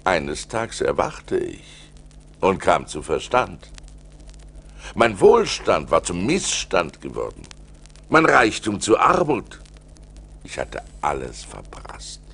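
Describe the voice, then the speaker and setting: An actor playing a dignified, seasoned seafarer with a gravelly voice, expressively recounting his adventures in a radio play. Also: sits low, heavily acted. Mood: reflective. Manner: deliberate, expressive. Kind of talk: theatrical radio play storytelling.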